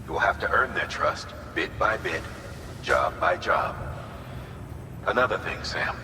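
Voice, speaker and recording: A man playing a gruff, authoritative commander giving a mission briefing in a video game, measured and firm with folksy turns of phrase. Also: sits low. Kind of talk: dramatic video game dialogue. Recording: echoey hall with noisy background.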